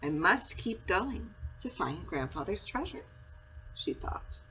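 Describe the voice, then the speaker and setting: A woman reading a children's story aloud in an expressive, storytelling style. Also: on the low side, takes it slowly. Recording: muffled audio with clear background noise.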